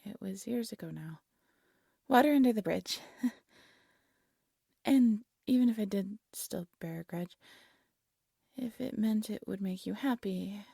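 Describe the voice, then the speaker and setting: A woman playing a devoted, lovesick exiled alchemist in an intimate fantasy audio roleplay, tender and soft-spoken, often dropping into a whisper. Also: medium pitch, vocal fry, husky, dry recording, clean studio sound. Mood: apologetic, devoted. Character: devoted, lovesick exiled alchemist practising dark magic, gentle.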